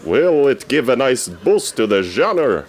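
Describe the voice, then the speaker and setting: Man playing an eager, theatrical radio correspondent, swinging pitch. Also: sing-song, measured pace. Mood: earnest. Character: eager, easily snubbed male radio correspondent on the red carpet. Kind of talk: scripted comedic red carpet reporting.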